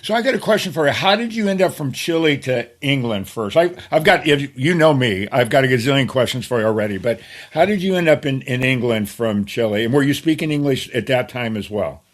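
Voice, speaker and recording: An eager man hosting a podcast, firing off questions to his guest. His voice sits at a middling pitch for a man. He talks in a casual way. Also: lively intonation, fairly quick, hardly any pauses. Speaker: podcast host. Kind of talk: casual interview.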